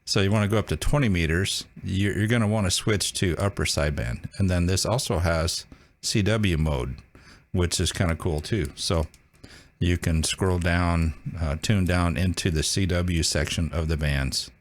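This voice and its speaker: A man hosting a casual hands-on tutorial, talking informally and haltingly as he thinks aloud. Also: sits low, no echo. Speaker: video host demonstrating a radio.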